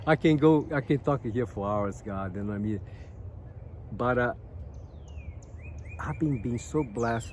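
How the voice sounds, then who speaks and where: A man from Brazil with a Brazilian Portuguese accent, speaking English as a second language, sharing personal stories in an earnest, halting way and often asking "you know what I mean?". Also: mid-range voice, a little mellow, slight background noise. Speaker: massage therapist sharing life lessons. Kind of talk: casual personal talk to viewers.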